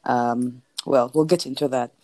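A woman's voice: extremely low pitch, fairly even intonation, measured pace, a little mellow, dry recording. A Ugandan woman guest on a podcast, speaking articulately and restarting phrases as she builds a point. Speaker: guest, lawyer and writer. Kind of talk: casual podcast interview.

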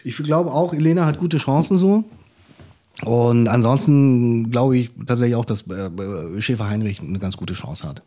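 A man's voice: mid-range voice, moderate pace, dark tone. A man co-hosting a casual chat show, hesitant and hedging as he explains how the shows work. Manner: hesitant, hedging with "irgendwie" and "glaub ich". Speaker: podcast co-host. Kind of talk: casual chat about reality TV.

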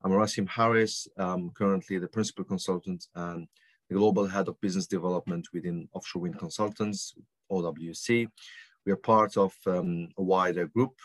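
Deep flat-voiced man with many pauses. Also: no room sound. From Algeria. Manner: halting, many ums and restarts. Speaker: guest consultant. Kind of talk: podcast interview answers.

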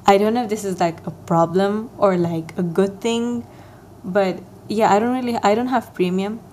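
A young woman hosting her own podcast, chatting casually and rambling with lots of fillers. Her voice is soft and soothing, a little raspier than usual this morning. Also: mid-range voice, fairly even intonation, normal speed, slight background noise. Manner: rambling, filler-heavy, self-deprecating. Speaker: podcast host. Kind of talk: casual solo podcast chat.

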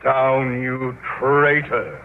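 Blustering principal, noisy tinny radio. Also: male voice, mid-range voice, drawn-out words. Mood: bitterly indignant. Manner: pompous, scolding. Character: blustering, aggrieved middle-aged male high school principal. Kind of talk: 1940s-50s radio comedy acting. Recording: noisy, tinny old-time radio broadcast.

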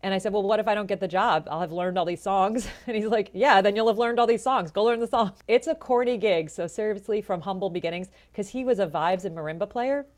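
A woman in her forties with a low voice, telling her story in a chatty, animated way as an interview guest. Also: fast, hardly any pauses. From Florida, USA. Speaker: jazz vocalist and educator, guest.

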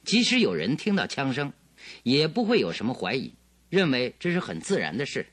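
A confident, analytical detective in a radio drama. His mid-pitched voice moves expressively through assured, theatrical explanations. The sound is close and dry. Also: huge pitch swings, brisk, a bit bright, no echo. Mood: assured, explaining. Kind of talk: Chinese radio drama acting.

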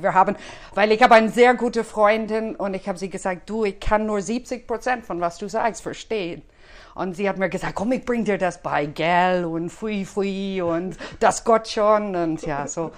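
A woman from Dublin speaks German with a clear Irish accent. She chats with friendly warmth, often pausing on fillers as she searches for words, her pitch sitting mid-range. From Ireland, Dublin. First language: English. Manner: hesitant, many fillers, friendly. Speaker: guest, cinema series organizer. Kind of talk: casual interview chat.

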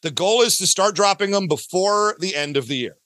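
A man's voice: sits high, expressive, a little fast, fairly bright tone, dry recording. A man talking casually as an interview guest about his creative work, talkative and often correcting himself mid-thought. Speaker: guest, audio drama creator.

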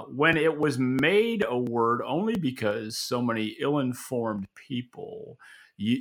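A man's voice: mid-range voice, creaky, a bit bright. A man co-hosting a podcast, giving opinionated, conversational commentary with a wry, dry sense of humor. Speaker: podcast co-host and market analyst.

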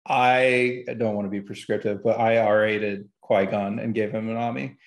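A man's voice: on the low side, level tone, normal speed, echoey room. A man talking casually and technically about gaming, explaining in an informal, hesitant way as a guest analyst.

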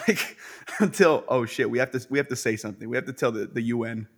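A young man talks as a guest on a casual podcast at an ordinary middle pitch. He is slangy and animated, and he keeps slipping into quick mimicked voices of other people. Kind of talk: casual chat.

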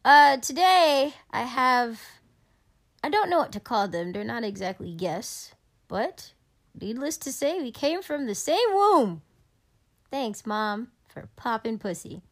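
A young woman hosts a loose, profane podcast chat with her siblings. She is blunt and teasing, with a slightly high voice, and breaks into laughter often. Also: big pitch swings, slow pace. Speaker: podcast host. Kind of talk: casual profane family chat. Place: Florida home.

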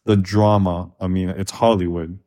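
A man from Korea co-hosting a casual podcast, with a lowish voice. He talks frankly and thoughtfully, often restarting his phrases as he thinks aloud. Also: little pitch movement, normal speed, dark tone. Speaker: podcast co-host.

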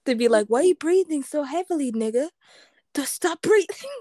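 A woman co-hosting a casual chat, telling blunt, animated stories. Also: high-pitched, moderate pace, no echo, clean studio sound. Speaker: podcast co-host.

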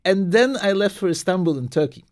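High-voiced Belgian-born man telling stories. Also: brisk. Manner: animated storyteller, many restarts and repeated words. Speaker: marketing professor, guest. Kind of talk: casual interview talk.

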